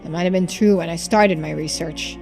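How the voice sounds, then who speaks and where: Woman as old male tycoon, gruff, cantankerous, folksy blunt speech, video game character, faint music behind. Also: fairly low pitch, brisk, a little mellow, natural voice. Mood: reflective, gruff.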